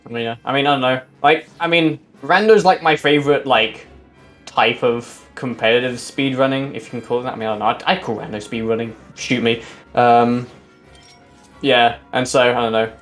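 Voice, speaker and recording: A man with a loud, booming voice doing casual speedrun commentary, rambling with lots of fillers, his mic at forearm's length. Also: steady tone, moderate pace, soft background music. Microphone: desk mic at forearm's length.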